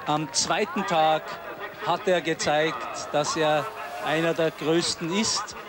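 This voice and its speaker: A man's voice: fairly high pitch, steady tone, slow pace. A man giving live ski flying commentary on television. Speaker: sports commentator. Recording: noisy outdoor sports broadcast, crowd noise.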